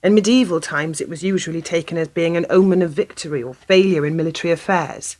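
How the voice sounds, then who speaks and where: A woman's voice: fairly low pitch, fast, darkish tone, lightly acted. An actress playing an inquisitive, sceptical female typist in a radio play, pressing for answers. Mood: probing, sceptical. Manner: inquisitive, pressing with short questions. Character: questioning, sceptical female typist drawn into secrecy.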